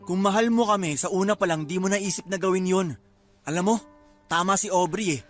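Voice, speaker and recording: An actor plays a weak, evasive husband in a radio drama, his voice a little high. He is defensive and pleading, always justifying himself, with faint music behind. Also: brisk, close to an everyday voice. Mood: accusing. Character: evasive, self-justifying unfaithful husband and father.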